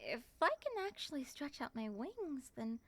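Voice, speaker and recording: Woman acting as a dramatic moth monster woman, high and bright, excitable, suspicious, exclaiming, ASMR roleplay. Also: normal speed. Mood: groggy, surprised. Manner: theatrical, expressive, exclaiming.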